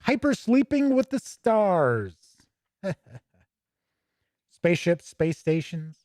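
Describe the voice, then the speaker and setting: A man's voice: sits high, big pitch swings, slow pace, a little mellow, no room sound, studio quality. A man hosting a tabletop gaming show, giving casual, chatty, rambling commentary off the cuff. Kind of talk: casual unscripted commentary while leafing through a book.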